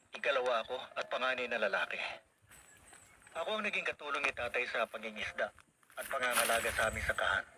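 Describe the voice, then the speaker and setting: A man's voice: mid-range voice, natural voice. An actor playing a dutiful, humble poor young man, speaking respectfully and earnestly in a Filipino radio drama. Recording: very noisy radio drama recording.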